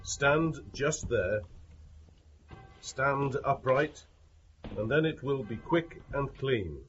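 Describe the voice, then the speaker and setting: An executioner in a radio drama, calm and businesslike. He gives orders slowly and deliberately, in dull sound over faint music. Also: medium pitch. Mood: calm, procedural. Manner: deliberate, matter-of-fact, commanding. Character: calm, businesslike male hangman giving instructions to the condemned. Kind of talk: broadcast radio drama acting.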